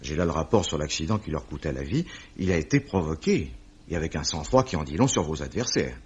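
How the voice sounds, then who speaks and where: An actor playing a grave, world-weary police commissioner in a measured, ominous manner, in the style of a 1950s French radio mystery. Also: male voice, very deep voice, animated, darkish tone, husky, full character voice. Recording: muffled vintage radio recording, faint noise.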